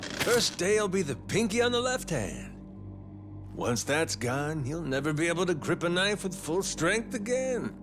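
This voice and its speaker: Taunting, animated pirate yakuza captain. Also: male voice, high-pitched, a little slow. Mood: mocking menace. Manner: taunting, theatrical, folksy drawl. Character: menacing, playful eyepatched yakuza pirate captain. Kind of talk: English dub video game voice acting. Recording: music behind the voice.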